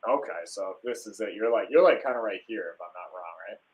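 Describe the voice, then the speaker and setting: A man's voice: lowish voice, a little fast, low-quality recording. A young man from Wisconsin hosting a casual live stream, talking enthusiastically and rambling with plenty of fillers and restarts.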